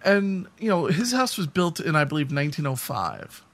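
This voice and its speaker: Higher-pitched man chatting casually. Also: sing-song, normal speed, fairly bright tone. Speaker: podcast co-host. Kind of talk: casual chat, telling personal anecdotes.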